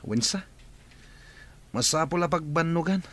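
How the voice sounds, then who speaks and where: A man's voice: on the high side, animated, a little slow, fairly bright tone. A man playing a regretful, impatient crook in a radio drama, with an Ilocano accent. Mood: rueful. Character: bitter, regretful jailed crook, impatient accomplice.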